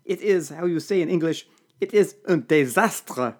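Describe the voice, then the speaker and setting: A man voicing an excitable older Belgian butler with a French accent who speaks broken English and hisses when angry. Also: on the high side, moderate pace, voice pushed up. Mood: panicked. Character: panicky older male Belgian butler, fiery.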